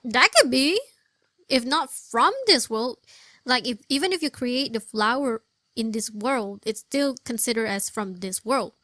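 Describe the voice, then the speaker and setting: High-voiced woman chatting over gameplay, dry mic. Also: fairly bright tone, no echo. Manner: halting, repeats phrases, chatty. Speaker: gaming video host. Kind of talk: casual game playthrough commentary. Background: faint game music.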